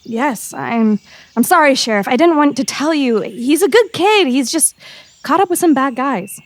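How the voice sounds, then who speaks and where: Nervy teen reporter girl, played by a woman: very high, animated, stammering and earnest, audio drama. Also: normal speed, no echo. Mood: nervous, reluctant. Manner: stammering, pleading.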